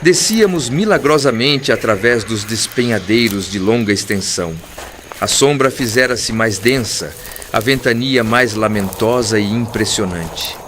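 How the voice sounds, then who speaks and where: Solemn male narrator over clear background noise. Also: lowish voice, measured pace, a bit bright. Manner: formal, literary, solemn. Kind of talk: scripted audiobook narration in the first person.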